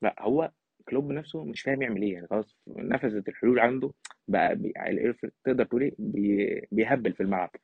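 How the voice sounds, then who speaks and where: A man's voice: medium pitch, fast talker, creaky, slightly rough. An Egyptian man giving casual, emphatic football analysis in Egyptian Arabic, as an opinionated podcast guest. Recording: thin phone-like line, slightly roomy.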